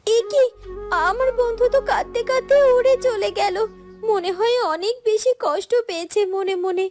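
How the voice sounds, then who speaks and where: A woman's voice: extremely high pitch, normal speed, over music. A woman voicing an innocent, kind-hearted teenage girl in a cartoon fairy tale, speaking in an earnest, plaintive way. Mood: sad, caring. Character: innocent, kind-hearted eighteen-year-old girl, sorrowful and tender.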